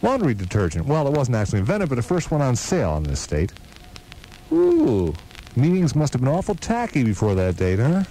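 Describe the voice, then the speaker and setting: A man's voice: mid-range voice, wildly swooping pitch, fairly quick, warm tone, slight background noise, rough recording. A man working as a radio DJ, reading weather and chatting in station patter. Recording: music bed under the voice.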